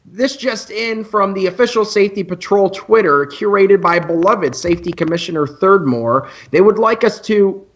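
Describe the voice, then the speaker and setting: A man playing an earnest, excitable teenage boy who announces on a school radio show in an amateur radio play. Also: high voice, normal speed, hardly any pauses, highs cut off. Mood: upbeat. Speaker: student radio host.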